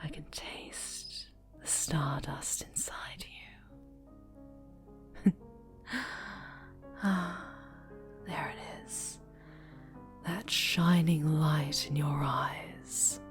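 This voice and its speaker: A woman playing an obsessive, possessive dark-angel superheroine turned villain in an intimate ASMR roleplay, half-whispering with menacing tenderness. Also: deep voice, steady tone, drawn-out words, long pauses, over music. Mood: tender, possessive.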